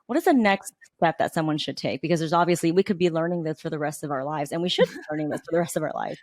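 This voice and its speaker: A woman's voice: low voice, darkish tone, no echo, clean studio sound. A woman hosting a podcast interview, reacting with enthusiasm and asking her guest what comes next. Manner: enthusiastic, rapid questioning. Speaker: podcast host. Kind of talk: interview host.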